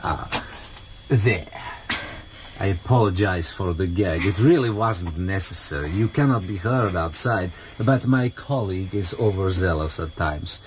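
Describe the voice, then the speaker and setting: An actor playing a cultured, mockingly courteous older foreign interrogator, formal and deliberate, in a vintage radio drama. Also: male voice, low voice, expressive. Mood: coldly courteous. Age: middle-aged or older. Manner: formal, deliberate, mock-polite. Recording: slightly noisy vintage radio recording.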